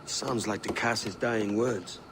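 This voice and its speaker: An actor playing a cocky, easygoing pirate captain in a video game, with a breezy, teasing manner. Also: male voice, lowish voice, fairly even intonation, slow, background noise. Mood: wry probing. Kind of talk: video game cutscene acting.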